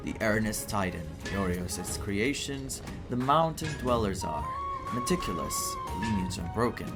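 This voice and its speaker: Man putting on a deep, solemn burly blacksmith. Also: expressive, measured pace. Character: burly, earnest mountain-dweller blacksmith speaking in inverted syntax. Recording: game music under the voice.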